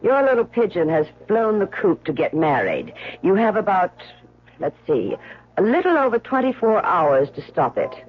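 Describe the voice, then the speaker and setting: A woman's voice: mid-range voice, sing-song, normal speed, lightly acted. Actress as sly, swooping society woman, muffled radio. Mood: sly, arch. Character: jealous, sly, manipulative society woman, coolly vindictive. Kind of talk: 1970s radio drama acting. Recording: muffled old radio broadcast with music.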